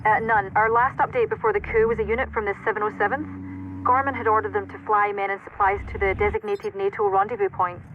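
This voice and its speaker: An actress playing a composed intelligence operator in a military game, giving factual, businesslike radio briefings. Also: female voice, medium pitch, steady tone, quick pace, natural voice. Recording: dull radio sound with faint noise.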